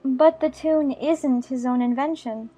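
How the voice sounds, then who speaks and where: A high-pitched, polite young girl played by a woman speaks at a slow pace, curious and a little puzzled. It is storybook drama over soft music. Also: slightly rough. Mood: quietly knowing. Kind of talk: dramatized audiobook acting.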